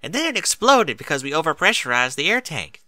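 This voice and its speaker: A man's voice: a little high, sing-song, brisk, bright, forceful tone, no room sound, voice pushed up, exaggerated voice. A man voicing a young pony filly, eager, stubborn and cheeky, with folksy informal talk, in a story reading. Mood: defensive, eager.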